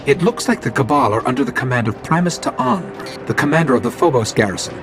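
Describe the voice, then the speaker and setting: A man's voice: mid-range voice, big pitch swings, quick pace. A man voicing a small robot scout in a video game, inquisitive and wry, explaining things expressively. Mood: alert, curious. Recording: game audio with music behind.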